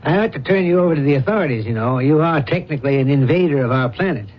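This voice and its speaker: A man playing a blunt, commanding older industrialist father in a radio drama, talking in brisk, clipped, forceful phrases. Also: fairly high pitch, lively intonation, slight background noise, lightly acted. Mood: stern. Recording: dull vintage radio broadcast recording.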